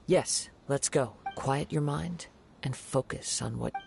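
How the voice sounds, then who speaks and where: A calm, formal young investigator. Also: male voice, medium pitch, vocal fry. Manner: measured, formal, deductive. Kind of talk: video game voice acting. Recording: game voice with music behind. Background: game background music.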